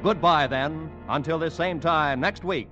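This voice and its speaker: A man as a formal radio announcer reading credits and promotions in a crisp, measured way. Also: on the high side, bright, forceful tone, soft background music. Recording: muffled old radio broadcast.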